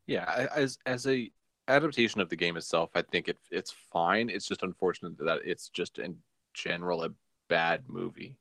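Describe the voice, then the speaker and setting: A man co-hosting a casual film discussion, giving his opinions in a measured, matter-of-fact way. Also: low voice, fairly bright tone, dry recording, studio quality. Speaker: film podcast co-host.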